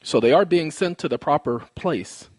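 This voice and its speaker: A man's voice: fairly high pitch, lively intonation, normal speed, a little mellow, no echo. A man speaking in a formal, polite but insistent way, explaining a complaint in precise wording. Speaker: caller, presenter of own recorded phone call.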